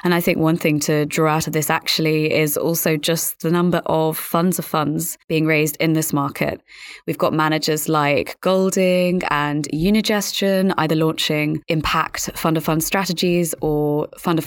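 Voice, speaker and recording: A woman speaking as a podcast host, measured and articulate, in a presenting and interviewing style. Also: low voice, level tone, dry recording. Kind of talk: scripted podcast narration and interviewing.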